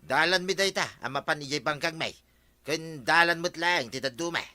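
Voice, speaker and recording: Sharp, chummy Ilocano villager. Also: male voice, fairly high pitch, moderate pace, no room sound. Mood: insistent, chummy. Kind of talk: Ilocano radio comedy drama acting.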